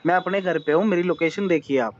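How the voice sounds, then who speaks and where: A man's voice: high voice, a little fast, slight background noise. A man on a phone call, asking questions in a polite, deferential way. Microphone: telephone. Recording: dull lo-fi recorded phone call.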